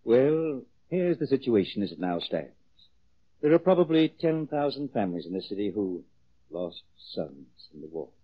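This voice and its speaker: Crooked businessman: placating, controlling, lively inflection, muffled vintage radio recording. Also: on the low side, moderate pace, breathy edge. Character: smooth, evasive crooked publishing businessman. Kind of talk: 1940s radio acting.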